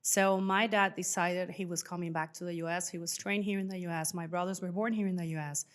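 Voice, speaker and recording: A woman's voice: fairly low pitch, fairly even intonation, a little fast. Chatty woman. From Venezuela. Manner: chatty, informal, acts out remembered dialogue. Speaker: podcast guest, financial professional. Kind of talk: casual personal storytelling.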